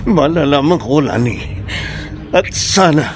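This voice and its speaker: A man's voice: a little high, animated, a little slow. A man playing a grim, angry father in a horror radio drama, grave, determined and emphatic. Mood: angry resolve. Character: grim, angry father vowing to find his child. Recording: loud music under the voice.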